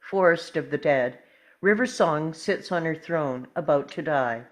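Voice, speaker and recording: A woman's voice: deep voice, unhurried. A woman narrating screen directions from a script in the present tense at a table read. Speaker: stage directions reader.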